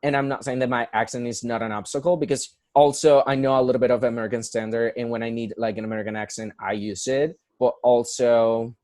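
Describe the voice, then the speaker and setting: Young man with a Puerto Rican accent, mid-pitched voice, dry close sound, earnest and chatty with lots of restarts. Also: fairly even intonation, fast, few pauses, a little mellow, no room sound. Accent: Puerto Rican Spanish. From Puerto Rico. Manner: earnest, many fillers and restarts. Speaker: guest, musical theater performer. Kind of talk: casual conversational interview.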